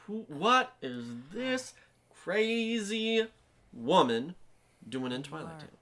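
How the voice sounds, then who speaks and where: A man's voice: high voice, big pitch swings, slow, a bit bright. A man co-hosting a gaming show, making casual, playful banter and short agreeing replies. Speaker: co-host of a let's-play show. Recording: game music behind the voice. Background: video game music.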